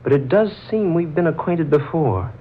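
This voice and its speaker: An actor playing a puzzled, earnest newcomer, speaking in a halting 1940s radio drama style. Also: male voice, a little high, lively intonation, natural voice. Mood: musing, puzzled. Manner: halting, musing. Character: puzzled, earnest male newcomer, drawn to a woman. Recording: muffled, noisy old radio recording.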